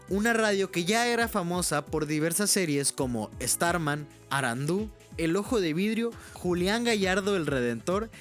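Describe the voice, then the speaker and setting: A man delivering scripted documentary narration as a video essayist. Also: a little high.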